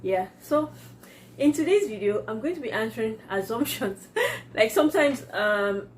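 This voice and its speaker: A woman's voice: on the high side, lively intonation, moderate pace, slight background noise. A woman with a Nigerian accent, whose first language is Yoruba, chatting casually in a vlog and laughing often as she answers viewer comments. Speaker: vlogger.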